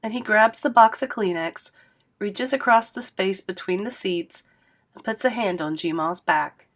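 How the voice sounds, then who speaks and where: A woman reads a children's novel aloud in a steady, expressive storyteller's voice. Her pitch sits right in the middle for a woman, and she narrates evenly, line by line. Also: a little slow. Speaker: read-aloud host.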